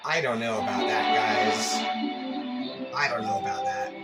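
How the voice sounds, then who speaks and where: Laid back guy chatting about shortwave listening, kinda hesitant, loud music in the background. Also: fairly low pitch, huge pitch swings, a little slow. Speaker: host of a shortwave radio listening channel. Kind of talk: casual chat commentary. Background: music from a shortwave radio.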